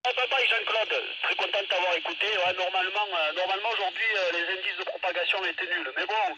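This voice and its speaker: Clear background noise surrounds a man speaking over two-way radio. His voice is high for a man, and he talks in the halting, repetitive way of a ham operator passing on details. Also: fairly even intonation. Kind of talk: amateur radio contact chat. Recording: noisy two-way radio audio.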